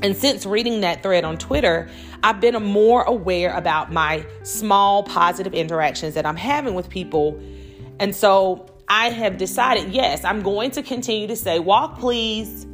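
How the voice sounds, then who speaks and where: A middle-aged woman hosting a solo podcast, talking conversationally and telling stories in a chatty, animated way with quoted asides. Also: medium pitch, a little slow, soft background music. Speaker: podcast host.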